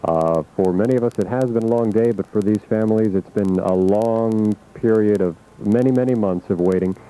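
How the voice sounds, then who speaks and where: A man reporting live on radio news, unscripted, measured and reflective, with searching pauses. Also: fairly low pitch, warm tone. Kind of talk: live unscripted event coverage. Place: outdoors at an airport. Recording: muffled, noisy 1980s radio aircheck.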